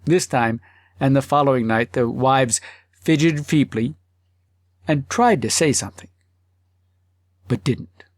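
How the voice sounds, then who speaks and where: A man narrating a humorous literary story as an audiobook, phrasing it deliberately and expressively with pauses. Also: medium pitch, no room sound, clean studio sound.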